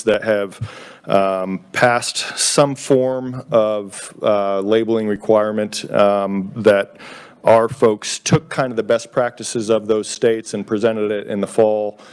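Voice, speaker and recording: A man gives spoken testimony before a legislative committee. He talks in a loose, casual way and keeps stopping on fillers. His voice is fairly low and smooth. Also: steady tone, darkish tone. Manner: casual, halting, many fillers. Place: state legislature committee room.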